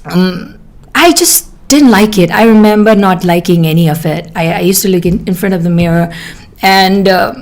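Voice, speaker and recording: A young woman with a Nepali accent and a husky voice, a doctor and guest on a podcast, speaking about herself in a reflective, halting way. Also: mid-range voice. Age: young adult. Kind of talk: candid personal conversation.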